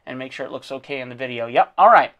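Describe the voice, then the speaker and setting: A hoarse man who has lost his voice, an art teacher critiquing a student's drawing in a casual, encouraging way with frequent fillers. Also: medium pitch, quick pace, dull audio. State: losing his voice.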